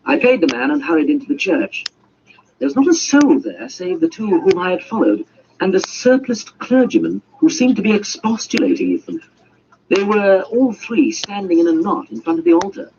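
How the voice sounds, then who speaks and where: A man's voice: sits high, sing-song, moderate pace, darkish tone. A man reading a story as a theatrical audiobook narrator in a dramatic, scripted style. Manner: theatrical, voices dialogue. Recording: muffled, noisy amateur audiobook recording.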